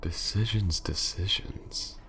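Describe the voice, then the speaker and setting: A man playing a menacing, aristocratic vampire lord in an ASMR roleplay, slow and theatrical, often sinking into a sinister whisper. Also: low voice, fairly bright tone, slight background noise, voice pushed up. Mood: taunting, sadistic.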